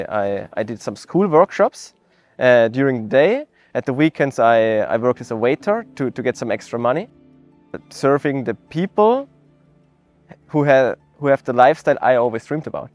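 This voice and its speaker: A young man from Austria, giving a network marketing testimonial in an earnest, reflective way, pausing often with fillers and restarts. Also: mid-range voice, a little mellow. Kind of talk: promotional testimonial interview.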